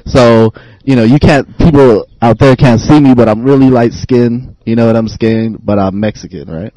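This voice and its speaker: A man's voice: mid-range voice, normal speed, mellow, dry recording. A man who is a podcast guest and rapper, chatting casually in a rambling, laid-back way with lots of filler words. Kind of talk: casual chat. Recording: dull lo-fi sound.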